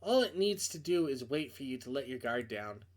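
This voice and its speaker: Cold, sly female friend, read by a man, hushed and insinuating; visual novel horror. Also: medium pitch, sing-song, moderate pace. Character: sly, cold woman coolly taunting her friend by phone. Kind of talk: visual novel character reading.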